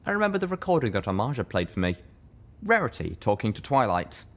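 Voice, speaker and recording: A man's voice: medium pitch, very animated, fast talker, fairly bright tone. A man reading a scripted first-person story aloud as its narrator. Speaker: fan fiction reader, narrator.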